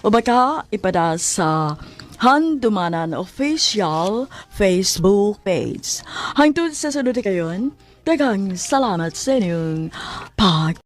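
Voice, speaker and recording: A female radio drama host with a Cebuano accent delivers scripted announcements at an unhurried pace. Her phrasing is theatrical and stretched out, at a medium pitch. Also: expressive.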